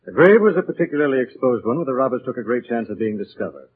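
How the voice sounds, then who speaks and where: Suave gentleman detective, medium pitch, husky, clipped and determined, narrow vintage radio sound. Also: sing-song, quick pace. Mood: composed. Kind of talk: 1940s radio acting. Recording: muffled lo-fi 1940s radio recording.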